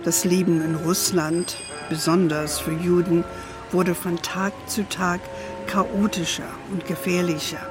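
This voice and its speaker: Slow-speaking storytelling grandmother played by a woman. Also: fairly low pitch, level tone, close to an everyday voice. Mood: measured, wistful. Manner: measured, storybook narration. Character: gentle playful old grandmother telling family history at bedtime. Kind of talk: audio drama storytelling. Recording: music under the voice.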